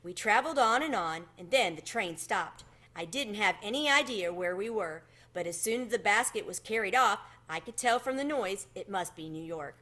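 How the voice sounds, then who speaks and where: A woman's voice: mid-range voice, lively intonation, normal speed, bright, forceful tone. A woman voicing a polite, modest male country cricket in a children's storybook, earnestly telling his adventure. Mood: uneasy. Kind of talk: children's storybook read-aloud.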